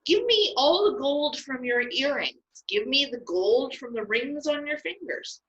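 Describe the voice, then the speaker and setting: A proud, showy Aaron who turns sheepish, as a woman puts him on. The voice is pitched up and bright, told in a storybook way. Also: lively intonation, unhurried, muffled recording. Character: Aaron, boastful then guilty male brother.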